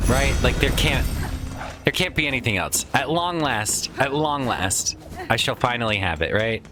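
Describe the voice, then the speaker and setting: A man casually commenting while playing a video game, chatty and rambling with some swearing, his voice tired from a lot of recent use. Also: medium pitch. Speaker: let's play host.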